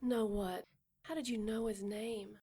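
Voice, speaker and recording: A woman's voice: mid-range voice, steady tone, unhurried, dark tone, slightly rough. A woman playing a frightened but defiant pregnant young woman in an audio drama, emotional, naive and protective. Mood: bewildered denial.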